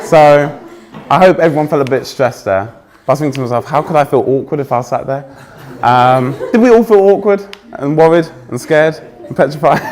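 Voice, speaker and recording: Young English male keynote speaker, fairly posh accent, loud, energetic, teases the crowd. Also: medium pitch. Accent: trained-out Essex, now fairly posh English. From England. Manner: energetic, joking with the audience, self-deprecating. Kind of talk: conference keynote talk. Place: stage before a live audience.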